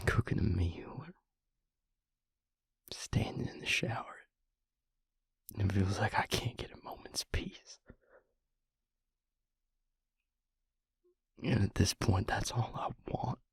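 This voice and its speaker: A man playing a grieving, guilt-ridden vampire confiding in his mate in an intimate audio roleplay, halting and hushed, with a breathy, husky voice. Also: on the low side, no echo, exaggerated voice. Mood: weary, pleading. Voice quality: breathy, husky, gravelly near-whisper.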